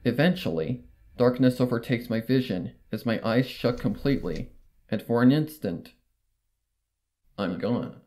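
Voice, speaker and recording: A man's voice: medium pitch, animated, measured pace, mellow. A man reading a scripted horror story as a dramatic first-person narrator. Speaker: fan fiction narrator.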